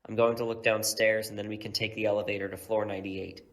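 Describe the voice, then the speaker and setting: A man playing a protective superhero mentor in an audio drama, steady and in command as he gives instructions. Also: fairly low pitch, quick pace, natural voice. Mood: calm, instructing.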